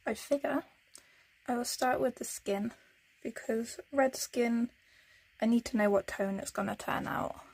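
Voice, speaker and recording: A woman with a fairly high, gravelly voice talks through her colouring in a relaxed, casual way. She muses to herself as she works, often sinking into a near whisper, and her tone stays husky throughout. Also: poor audio. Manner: soft-spoken, musing, often drops to a whisper. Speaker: colouring channel host. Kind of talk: casual narration while colouring.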